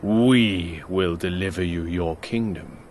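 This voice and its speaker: Slow, deep, haughty warlord. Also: male voice, a little mellow, slight background noise. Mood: arrogant. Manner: grandiose, deliberate. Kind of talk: archaic fantasy game dialogue.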